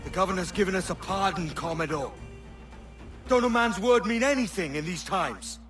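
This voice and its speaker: An actor playing a bold, defiant pirate, blunt and sardonic in a video game cutscene. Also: male voice, high voice, lively intonation, normal speed, distant mic, over loud music.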